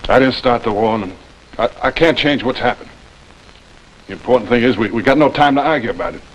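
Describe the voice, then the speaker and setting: An actor playing a blunt, defiant civilian army scout with folksy frontier speech in a 1950s TV western. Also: male voice, mid-range voice, a little fast, slight background noise, lightly acted, rough recording. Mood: urgent. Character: plain-spoken, defiant civilian army scout, protective and wary. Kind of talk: 1950s television western acting.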